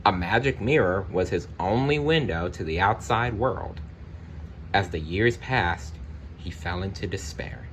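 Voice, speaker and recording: A man with a deep voice reads a children's storybook aloud at an unhurried, slow pace. His delivery is deliberate and expressive, like storytime for young listeners. There is noticeable noise behind him. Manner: deliberate, expressive narration with brief personal asides. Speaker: storybook reader.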